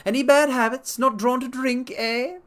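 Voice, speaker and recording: A man voicing a blustery, bustling gentleman investor who talks bluntly and eagerly in a dramatic story reading. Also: very high voice, fairly even intonation, moderate pace, voice pushed up. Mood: brisk, pushy. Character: blustery, pushy gentleman investor with money to spend.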